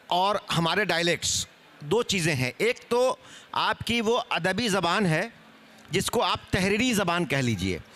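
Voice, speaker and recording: A man gives an explanatory answer on a seminar panel. His voice is high and bright with very lively intonation, and he illustrates his points with examples. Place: live seminar on stage.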